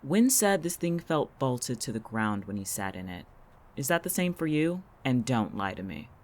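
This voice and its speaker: Audio drama role for an actor: sardonic, calculating town local, sharp-tongued and irritable, animated in pitch. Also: normal speed, close to an everyday voice. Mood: probing, cold. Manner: biting, sarcastic, dry-witted.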